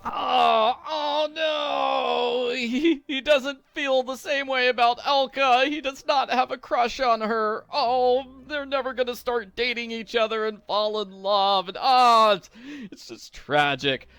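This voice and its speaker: A man's voice: very high voice, slow pace, gravelly, few pauses, fairly bright tone, clear, resonant tone, dry recording, soft background music. A man giving casual, playful video game walkthrough commentary, with a theatrical streak.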